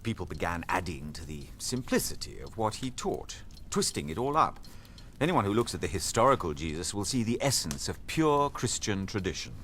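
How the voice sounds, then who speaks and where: An actor playing a self-assured, zealous academic who argues emphatically for radical views in an audio drama. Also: male voice, on the low side, sing-song, normal speed, fairly bright tone, slight background noise. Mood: opinionated. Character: opinionated male academic, zealous political radical.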